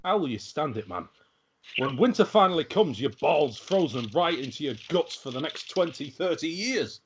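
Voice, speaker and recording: A man's voice: on the high side, big pitch swings, moderate pace, a little hiss or hum. A man playing a boisterous, blunt, imperious fantasy king in his forties at an amateur table read. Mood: hearty, boisterous.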